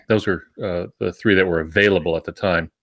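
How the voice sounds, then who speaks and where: A man, an author on a podcast panel, chatting casually about books in a halting, rambling way with frequent pauses. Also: low voice, vocal fry, a bit bright.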